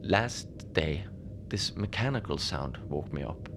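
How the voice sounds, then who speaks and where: A man playing a lonely, unbothered polar station keeper, telling strange tales in a halting, understated, conversational way for a fiction podcast. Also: rumbling low, full character voice. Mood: puzzled, matter-of-fact. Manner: halting, conversational, understated storytelling with small hesitations. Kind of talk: cozy horror audio fiction monologue. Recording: steady noise behind the voice.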